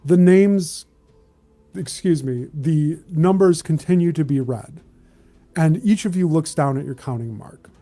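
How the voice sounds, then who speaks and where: A man narrating a tabletop roleplaying game as game master, with a deliberate, halting delivery. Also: fairly high pitch, warm tone, faint music behind.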